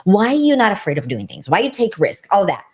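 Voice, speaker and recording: A woman's voice: very animated, quick pace, husky. A woman from Brazil with a Brazilian Portuguese accent, co-hosting a casual podcast and speaking in an earnest, emphatic way. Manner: earnest and emphatic, swinging high in pitch. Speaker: co-host. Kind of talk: casual conversation.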